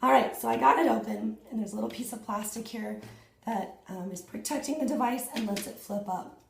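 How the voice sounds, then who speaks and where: Woman, 30s, hoarse and husky, excitable fitness vlogger, talks straight to viewers, roomy echo. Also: on the high side, a little fast, noisy background. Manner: chatty and excitable, addresses viewers directly. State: hoarse, losing her voice. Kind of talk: casual unboxing vlog. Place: small indoor room at home.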